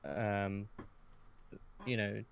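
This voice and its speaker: A man's voice: on the low side, very slow, creaky, a little hiss or hum. A man chatting casually as a podcast guest, hesitant and often correcting himself as he goes. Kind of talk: casual conversation.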